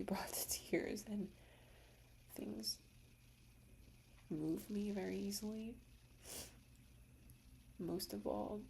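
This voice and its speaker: Young woman, ASMR whisperer, slow and mellow, gravelly edges, faint noise floor. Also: medium pitch, rough recording. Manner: soft whispering, slow and reflective, emotional. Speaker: ASMR creator. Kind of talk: whispered personal ASMR talk.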